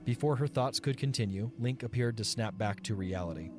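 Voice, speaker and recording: A man's voice: mid-range voice, fairly quick, music in the background. A man narrating a scripted fantasy romance story as the narrator of an audio drama.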